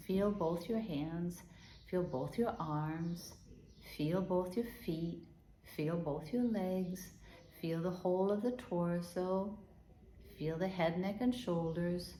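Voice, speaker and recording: Gentle woman. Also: medium pitch, echoey room. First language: Danish. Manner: gentle and unhurried, with soft repeated guiding phrases. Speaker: healing and meditation teacher. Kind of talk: guided body-awareness talk.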